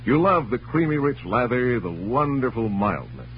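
A man's voice: mid-range voice, big pitch swings, moderate pace. A man with an American accent announcing in a polished, emphatic 1940s scripted radio style. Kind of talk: 1940s scripted radio narration and sponsor pitch. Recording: muffled, noisy old radio recording with hum.

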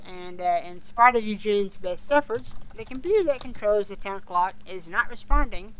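Smooth-voiced podcast co-host, halting delivery. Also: mid-range voice, a bit bright, poor audio. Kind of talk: casual fan discussion.

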